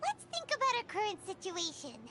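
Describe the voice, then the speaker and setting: A woman's voice: extremely high pitch, fairly quick, background noise. A woman voicing a tiny, chatty fairy companion girl in a game, with soundtrack behind. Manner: excitable, chatty.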